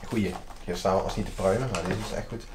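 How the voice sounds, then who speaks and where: A young man exploring an abandoned hotel and chatting casually to camera, often dropping to a hush. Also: low voice, fairly even intonation, fast talker. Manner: chatty, filler-heavy, drops to a hush. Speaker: urban explorer vlogger. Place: large empty abandoned hotel, indoors. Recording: echoey empty halls, background noise.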